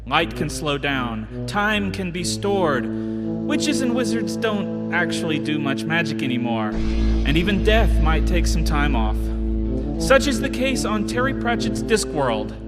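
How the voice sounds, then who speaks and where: A man's voice: on the high side, sing-song, unhurried. A man playing a dramatic announcer opening a fantasy radio play with theatrical, portentous scripted delivery. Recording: reverberant hall, loud guitar music.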